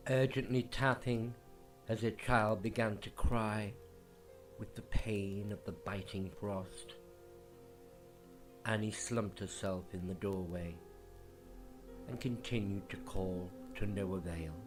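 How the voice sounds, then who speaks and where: Male storyteller, very slow, dramatic and hushed delivery, soft music bed. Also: on the low side, steady tone. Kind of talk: slow scripted ghost story narration. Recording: faint music underneath.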